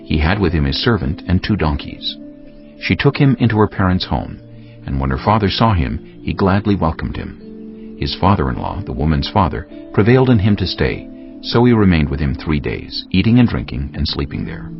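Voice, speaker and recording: A man narrating dramatized scripture as a solemn, deliberate storyteller. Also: sits low, very animated, creaky. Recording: muffled audio with prominent music underneath. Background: music.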